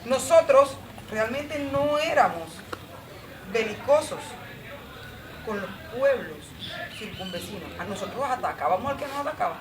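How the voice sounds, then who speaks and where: A woman's voice: a little high, lively intonation, measured pace, a crowd in the background. A woman, speaking on a forum panel with proud, emphatic storytelling. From Colombia. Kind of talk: impassioned personal talk. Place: outdoor patio. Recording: roomy, distant and noisy.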